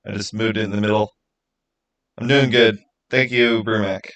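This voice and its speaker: A man's voice: on the low side, fairly even intonation, moderate pace, a bit bright. A man hosting a livestream, chatting casually and informally straight to his viewers. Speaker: live stream host running a giveaway.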